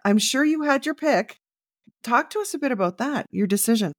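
A woman's voice: on the high side, animated, a little fast, dry recording, studio quality. A woman co-hosting a recruitment podcast, asking direct, friendly interview questions. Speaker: podcast co-host.

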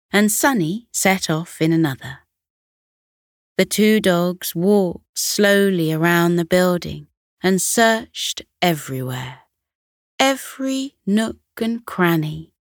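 A woman narrating a scripted bedtime story for children in a gentle, measured way. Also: lowish voice, no room sound, clean studio sound.

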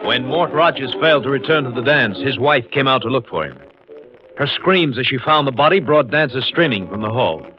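Male radio announcer over loud music. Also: medium pitch, expressive, a little fast. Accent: American. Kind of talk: 1950s radio drama scripted narration. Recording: muffled old radio recording with music.

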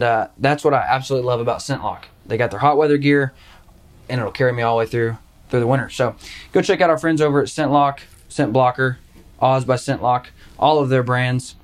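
A man's voice: medium pitch, fast talker. Joking, rambling podcast host man. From Arkansas. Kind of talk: casual chat.

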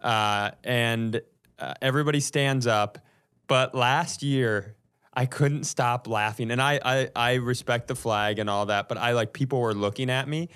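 Animated-storytelling man in casual comedy chat. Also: medium pitch, measured pace, smooth. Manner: laughs often while telling stories. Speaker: comedy podcast co-host.

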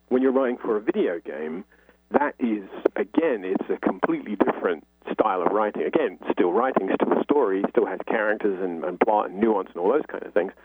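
A man's voice: fairly low pitch, breathy edge, dry recording. A man speaking as an interview guest, explaining at length in a talkative, conversational way. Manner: talkative, explanatory, frequent "you know". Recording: thin phone-like line.

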